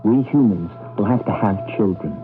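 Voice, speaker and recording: An impassioned rebel played by a male actor speaks through a narrow, tinny old radio broadcast. His pitch swings widely as he argues with urgent, theatrical emphasis. Faint music sits behind him. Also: animated, moderate pace, natural voice. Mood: defiant, rallying. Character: defiant, impassioned male rebel planning humanity's revival. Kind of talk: 1980s radio drama acting.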